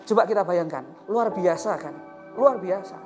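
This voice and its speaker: A man's voice: high voice, moderate pace. Javanese-accented male preacher over music. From Indonesia. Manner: emphatic, repeats phrases and asks rhetorical questions. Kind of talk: storytelling sermon.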